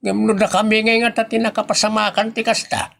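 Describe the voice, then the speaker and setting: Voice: mid-range voice, level tone, brisk, vocal fry, a bit bright. A performer with an Ilocano accent playing a nagging, moralizing villager in a comedy audio drama, speaking in an admonishing way. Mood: reproachful.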